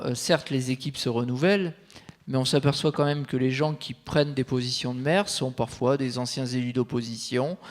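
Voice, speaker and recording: A middle-aged man answering a question on a conference panel, explaining in a measured way with frequent hesitations. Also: medium pitch. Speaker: panel speaker.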